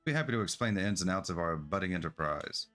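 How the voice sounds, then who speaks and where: A man's voice: low voice, animated, fast talker, fairly bright tone. A man reading the part of an eager, polite merchant in a game, with effusive, formal sales patter. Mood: welcoming. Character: eager, polite merchant founder of a travel agency. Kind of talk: game quest dialogue read aloud. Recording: music behind the voice.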